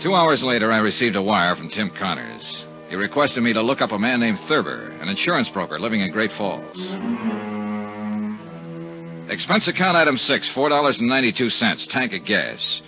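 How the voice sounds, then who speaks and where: An actor playing a shrewd, dogged American investigator who questions and narrates in a clipped way in a 1950s radio detective drama. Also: male voice, on the low side, very animated, fairly quick, few pauses, music in the background. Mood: businesslike narration. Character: shrewd, dogged male insurance investigator narrating his case. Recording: muffled 1950s radio recording.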